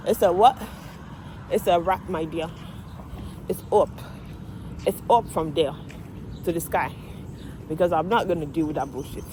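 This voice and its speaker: A woman from Nigeria with a Nigerian accent, chatting to her vlog camera while walking outdoors, animated and outspoken, swearing freely. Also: mid-range voice. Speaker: vlogger, medical assistant. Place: outdoors on a residential street. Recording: street with passing traffic noise. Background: cars and street ambience.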